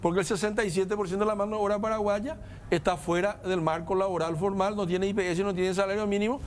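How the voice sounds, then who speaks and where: A man's voice: high-pitched, steady tone, slight background noise. Non-native man lecturing on politics. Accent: non-native Spanish. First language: not Spanish. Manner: measured, lecturing, emphatic lists. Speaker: guest commentator. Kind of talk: political discussion.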